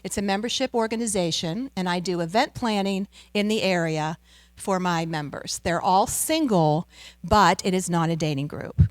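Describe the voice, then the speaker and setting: Loud, upbeat American woman speaker. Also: on the low side, normal speed, dry recording. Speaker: guest speaker, travel business owner. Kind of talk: seminar talk. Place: community center room.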